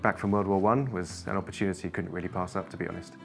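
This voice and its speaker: Low-voiced man, casual diver chat, clear music under it. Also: steady tone, racing. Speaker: wreck diver. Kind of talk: interview answers.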